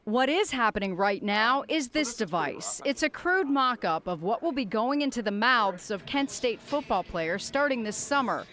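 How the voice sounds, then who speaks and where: A woman's voice: a little high, big pitch swings, moderate pace, few pauses, a bit bright. A woman with an American accent delivering scripted news narration as an investigative television reporter.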